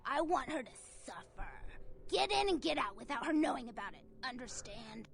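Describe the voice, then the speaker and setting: A woman's voice: high voice, expressive, normal speed, vocal fry. An actress playing a vain, bitter and commanding female vampire starlet in a video game. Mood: scheming, commanding. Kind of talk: video game character acting. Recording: music behind the voice.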